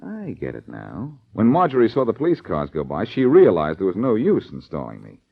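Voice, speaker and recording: An American actor playing a cocky, wisecracking amateur detective in 1940s radio style, flippant and quick-witted. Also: male voice, lowish voice, huge pitch swings, vocal fry, breathy edge, exaggerated voice. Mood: cool, probing. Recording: dull, lo-fi old radio recording.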